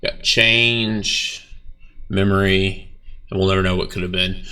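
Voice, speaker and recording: A young man, a bassist, talking casually about his band's video in a laid-back, rambling way with lots of fillers and some swearing. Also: steady tone, unhurried, bright, forceful tone, clean tone, recorded from a distance. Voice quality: low voice. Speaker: bass player reacting to his band's video. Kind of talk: casual reaction vlog.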